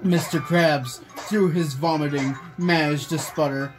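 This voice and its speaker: A man's voice: on the high side, fairly even intonation, a little slow, poor audio. Young man reading aloud over music. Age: young adult. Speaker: friend reading fan fiction aloud. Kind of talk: amateur read-aloud with casual chat. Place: small bedroom, indoors. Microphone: phone camera nearby.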